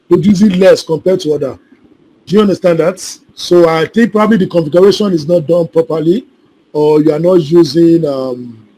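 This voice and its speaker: Male instructor on a video call, higher-pitched, repetitive and checks in often. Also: a little mellow, slight background noise, poor audio. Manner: repetitive, keeps checking students follow. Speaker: solar installation trainer. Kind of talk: live online class, answering questions.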